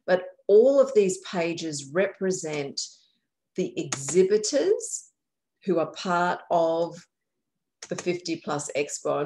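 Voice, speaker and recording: A woman's voice: fairly low pitch, a little mellow. A woman from a county aging services office, guiding viewers through a website on a shared screen with a friendly, explanatory manner. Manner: friendly, explanatory, self-correcting. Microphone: computer mic on a video call.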